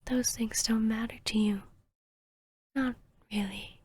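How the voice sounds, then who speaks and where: A woman voicing an arrogant, seductive vampiress hypnotist in a whispered ASMR roleplay, with a breathy, smug, teasing delivery. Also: on the high side, fairly even intonation, darkish tone, dry recording. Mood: amused, condescending. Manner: slow, smug, teasing, deliberate.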